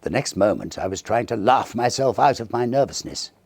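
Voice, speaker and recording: A man giving a theatrical, measured narration of a Victorian ghost story, with dramatic pauses. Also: medium pitch, lively intonation, dry recording. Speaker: audiobook narrator.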